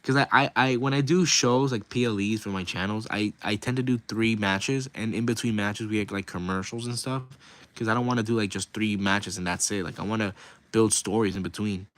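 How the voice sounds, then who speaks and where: A man hosting a casual livestream, rambling with lots of fillers, his voice raspy and hoarse from talking nonstop. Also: fairly low pitch, a little fast, a bit bright. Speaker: livestream host and wrestling video creator.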